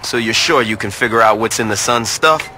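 A sarcastic game gang boss, lowish and forceful. He is curt and dry, with a long reverb around him. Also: a little fast, slight background noise. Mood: sardonic. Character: gruff, sarcastic young male gang leader.

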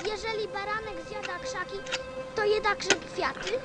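A woman's voice: very high voice, fairly even intonation, normal speed, loud music behind the voice. A woman playing a small, sensitive little prince in a radio play, with a childlike, earnest, questioning manner. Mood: curious, earnest.

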